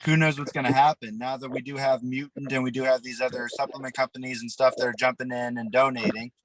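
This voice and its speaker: A man's voice: mid-range voice, little pitch movement, fast, slight background noise, low-quality recording. A man in his thirties co-hosting a casual podcast, speaking in an earnest, enthusiastic way. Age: 30s. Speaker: podcast co-host.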